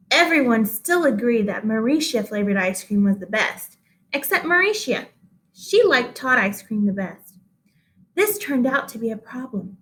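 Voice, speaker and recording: High-pitched woman reading a children's story. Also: a bit bright. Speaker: teacher reading aloud to pupils. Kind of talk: expressive children's story read-aloud.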